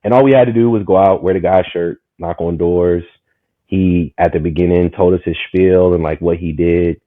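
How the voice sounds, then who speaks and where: Guest: man, 30s, from Maryland, rambling storyteller, low voice, phone-quality audio, dry. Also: moderate pace, no room sound. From Maryland, USA. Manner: animated, rambling, full of "you know what I'm saying". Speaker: guest, community market founder. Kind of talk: casual storytelling conversation.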